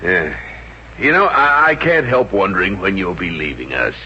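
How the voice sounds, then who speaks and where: An actor playing a meek, henpecked middle-aged American boss in a 1950s radio comedy, hesitant and stammering. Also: male voice, sits low, huge pitch swings, moderate pace, husky, exaggerated voice. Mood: wistful, shy. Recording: muffled 1950s radio recording.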